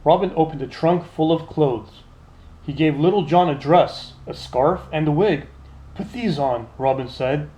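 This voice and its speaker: Guy reading a kids bedtime story, kinda high voice, expressive storybook narration. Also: moderate pace, poor audio.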